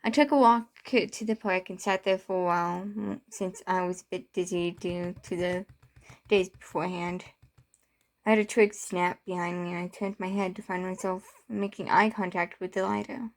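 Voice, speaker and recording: A woman reading her own first-person story aloud, halting and sometimes stumbling over the lines. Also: fairly low pitch, fairly even intonation.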